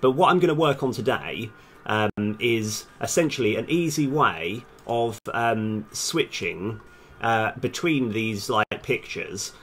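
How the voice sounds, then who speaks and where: A man's voice: fairly low pitch, expressive, slow, fairly bright tone, slight background noise. A man thinking aloud in a casual, hesitant, self-deprecating way while live streaming as a game developer.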